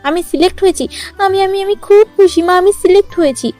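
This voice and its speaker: A woman's voice: very high-pitched, fairly quick, darkish tone, pitched above the performer's own voice. A woman voicing a determined, loving sixteen-year-old village girl who pleads insistently in a cartoon story. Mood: overjoyed. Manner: pleading, insistent, repeats words emotionally. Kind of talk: dramatized cartoon story acting. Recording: music under the voice.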